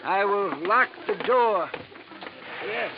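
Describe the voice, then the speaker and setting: A man's voice: high-pitched, expressive, slow pace, full character voice. An actor playing a nervous, timid older male scientist who stammers and hesitates, in 1950s radio drama acting. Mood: flustered. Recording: dull noisy old radio recording.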